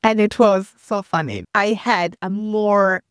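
A woman's voice: mid-range voice, slow pace, smooth, no room sound, studio quality. A woman guest explaining her migrant support service in a reflective interview. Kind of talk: thoughtful interview talk.

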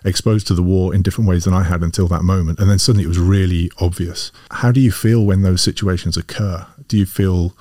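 A man's voice: low voice, steady tone, fast talker, hardly any pauses, mellow, dry recording, studio quality. A man hosting an interview, asking thoughtful, probing questions. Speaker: podcast host and MBA teacher. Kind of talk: interview conversation.

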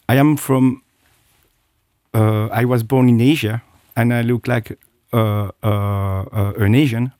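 A man's voice: mid-range voice, dark tone, no echo, clean studio sound. A middle-aged man, a guest telling his personal story with many hesitations and restarts. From South Korea. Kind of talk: interview, personal account.

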